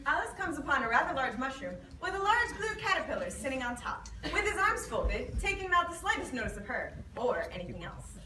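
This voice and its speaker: Very high, brisk woman narrator. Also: big pitch swings. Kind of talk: scripted narration in readers theater. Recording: echoey room, distant mic, clear noise.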